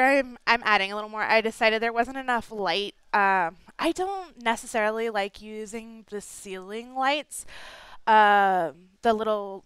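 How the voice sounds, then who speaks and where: A woman's voice: medium pitch, fairly bright tone, clear, resonant tone, no room sound, low-quality recording. A young woman with a stuffed-up nose giving a rambling, apologetic casual voice-over, full of fillers and restarts, recorded close on a home mic. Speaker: game builder narrating a speed build.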